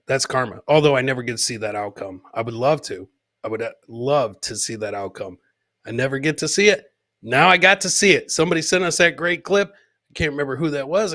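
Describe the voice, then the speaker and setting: Male comedy podcast host: bright, punchy voice, dry sound, casual off-the-cuff commentary with repeated phrases. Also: medium pitch, no room sound. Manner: loose, repeats his phrases, interjects. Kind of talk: casual live commentary on viral clips.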